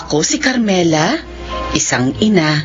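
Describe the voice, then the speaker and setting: A woman's voice: on the low side, big pitch swings, a little slow. An actress playing an easygoing, apologetic mother in a radio drama, with a coaxing, chatty manner. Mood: reflective. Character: easygoing, apologetic bingo-loving mother of grown children. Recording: muffled radio broadcast over faint music.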